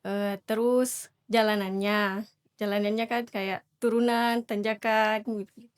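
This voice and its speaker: A young woman with a South Sulawesi accent, answering interview questions softly and hesitantly, often repeating her words. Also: medium pitch, level tone, slow, clear, resonant tone. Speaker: new civil servant guest.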